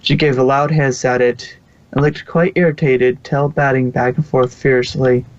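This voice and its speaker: A man's voice: mid-range voice, steady tone, normal speed, lo-fi sound. A man reading fan fiction aloud as the narrator, close to the microphone.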